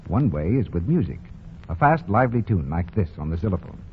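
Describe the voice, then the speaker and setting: A male radio announcer delivering a polished, emphatic sponsor pitch in 1940s broadcast style. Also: big pitch swings, brisk. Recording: dull, noisy 1940 radio recording.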